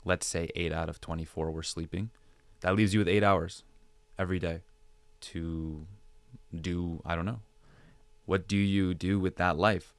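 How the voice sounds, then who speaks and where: A man's voice: low-pitched, steady tone, unhurried, no echo. A man speaking as a podcast guest, giving conversational advice with rhetorical questions and repeated phrases for emphasis. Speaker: podcast guest, digital creator.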